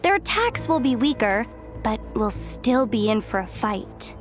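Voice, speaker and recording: A cheeky young treasure-hunting girl from a video game, with a high voice. She talks dramatically, half to herself, scheming and easily rattled. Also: big pitch swings, measured pace, soft background music. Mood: cocky, explaining. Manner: chatty, dramatic, self-talking.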